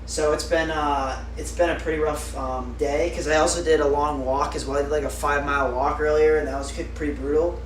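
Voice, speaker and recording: A young man with a slightly higher voice chats loosely with his live-stream audience, trailing off into fillers. He sits back from the mic in a roomy, echoing space with a faint hiss underneath. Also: distant mic. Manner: chatty, rambling with fillers, answering viewers. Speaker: livestreamer. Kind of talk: casual live-stream chat.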